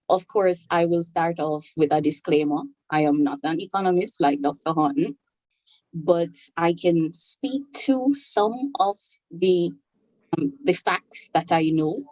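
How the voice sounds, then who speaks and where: Low-voiced Jamaican woman, dull call audio. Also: warm tone, no room sound. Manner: measured, with frequent um fillers and restarts. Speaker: panelist. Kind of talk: academic panel talk.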